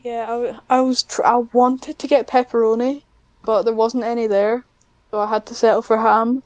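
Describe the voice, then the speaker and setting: A young British woman with a fairly high voice, recorded close and dry. She chats casually as a podcast guest, rambling through her stories in a giggly manner. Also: little pitch movement, moderate pace, darkish tone, no room sound. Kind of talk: casual chat.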